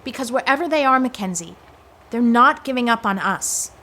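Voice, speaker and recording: A woman's voice: a little high, animated, moderate pace, pushed and bright, a little hiss or hum. A woman playing a stern, resolute spy section chief with formal, precise diction in an audio drama. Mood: firm, resolute.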